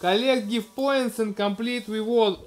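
Gentle man narrating a game to his toddler. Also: very high voice, steady tone, unhurried, soft background music. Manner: gentle, coaxing, talks to the child, narrates what is on screen. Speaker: let's-play host playing with his toddler. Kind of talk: casual game commentary to a small child.

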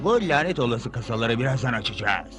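A gruff cartoon robber with a mid-pitched male voice, played by a man. He is a greedy, surly henchman from a cartoon dub, with clear music behind him. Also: lively intonation, fast talker, close to an everyday voice. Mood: scheming.

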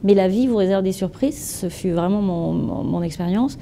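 Gravelly-voiced French woman in her thirties. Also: medium pitch, normal speed, a little hiss or hum. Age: 30s. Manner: animated, gestures with hands, playful wordplay. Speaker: entrepreneur interviewee. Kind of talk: answering interview questions. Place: event stand with branded backdrop, indoors.

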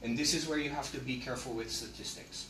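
A man's voice: medium pitch, fast talker, background noise. A middle-aged man gives a classroom lecture, emphatic and asking his audience rhetorical questions. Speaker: lecturer on land history. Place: classroom with projector screen. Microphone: far camera. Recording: echoey room from far off.

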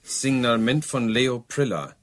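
An actor in a German radio play playing a dutiful, literal-minded police sergeant, clipped, deferential and matter-of-fact. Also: male voice, medium pitch, animated, measured pace, a bit bright.

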